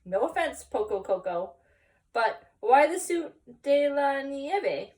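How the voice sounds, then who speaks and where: A woman's voice: high-pitched, sing-song, darkish tone, distant mic, voice pushed up, exaggerated voice. A woman voicing the biggest of a pack of small male storybook dogs, drawing out Spanish-sprinkled words. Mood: relaxed, teasing. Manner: drawn-out, Spanish-sprinkled speech.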